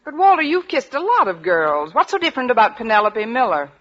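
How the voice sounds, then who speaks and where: An actress playing a wry American English schoolteacher with dry, wisecracking delivery in a 1940s radio comedy. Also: female voice, fairly high pitch, very animated, fairly quick, fairly bright tone. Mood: sardonic. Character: wry, sardonic adult female English schoolteacher. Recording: muffled noisy 1940s radio recording, audience behind. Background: studio audience.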